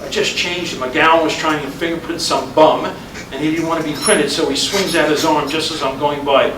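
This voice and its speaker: Man acting middle-aged husband, higher-pitched voice, wry, grumbling, plainspoken, stage play, noisy recording. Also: fairly even intonation, moderate pace, lightly acted. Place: indoor live reading at a college. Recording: echoey room from far off, noisy.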